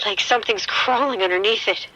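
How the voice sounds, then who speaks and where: An actress playing a frightened woman who has been bitten and is falling ill, speaking in shaken, halting bursts in an audio drama. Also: mid-range voice, sharp tone, rough recording. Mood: sick, fading. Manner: shaken, halting, breathy fear.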